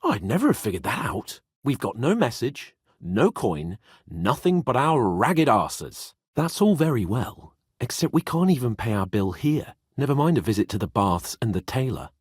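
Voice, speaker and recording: A cocky young British lordling from a video game, petulant and sardonic. His pitch swings wildly and his voice has a husky rasp. The recording is close and dry. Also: big pitch swings, normal speed, darkish tone, no room sound. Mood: exasperated. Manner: sardonic, indignant, posh and foul-mouthed. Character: haughty, hot-tempered young nobleman, male, cocky and petulant. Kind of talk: dramatic video game voice acting.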